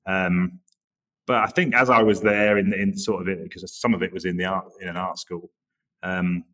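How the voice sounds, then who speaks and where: An Englishman in his forties from the Norwich area, chatting as an interview guest in a relaxed, rambling way with many restarts. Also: low voice, normal speed. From England, Norwich area. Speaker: guest, creative director. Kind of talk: casual interview chat.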